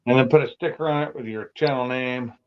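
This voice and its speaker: A man chats easily as a guest on a car livestream, loose and relaxed, often trailing off into fillers. The sound is a little dull and lo-fi, as if it came through a modest call connection. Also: mid-range voice, brisk. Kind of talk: casual car chat.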